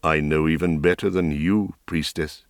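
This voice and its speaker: A man voicing a grave, calm young wizard in a storybook, speaking quietly and gently. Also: a bass voice, moderate pace, no room sound, clean studio sound, voice pushed down. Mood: firm. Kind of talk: audiobook character reading.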